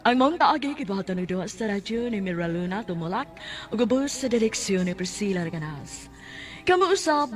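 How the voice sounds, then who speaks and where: A woman announcer hosts a radio drama in scripted style. Her voice is on the high side, with a Cebuano accent, over a music bed. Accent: Cebuano Filipino.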